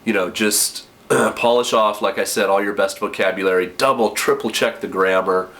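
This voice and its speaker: Young male vlogger, slightly low voice, stuffy with a cold, rambling friendly advice from his kitchen. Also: moderate pace, a bit bright. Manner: chatty, many ums and self-corrections. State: nasal from a cold. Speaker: vlogger giving application advice. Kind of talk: casual advice vlog.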